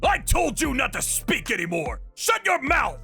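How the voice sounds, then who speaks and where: A woman's voice: sits low, very animated, moderate pace, faint music behind, pitched below the performer's own voice. A woman voicing an emphatic, protective older father-in-law in a narrated family drama. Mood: furious. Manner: emphatic, forceful. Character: furious, protective older father-in-law, kindly but booming. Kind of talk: acted dialogue in narrated story.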